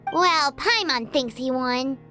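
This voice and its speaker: A woman's voice: high voice, takes it slowly, sharp tone, soft background music, full character voice. A woman voicing a tiny, nosy fairy-like companion girl who chatters curiously and calls herself by her own name, in a game. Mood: playful. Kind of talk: game voice acting.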